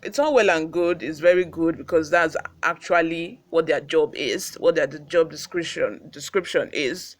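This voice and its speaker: A man's voice: on the high side, moderate pace, hardly any pauses. A man with a Nigerian accent, hosting a news channel with read reports and informal commentary.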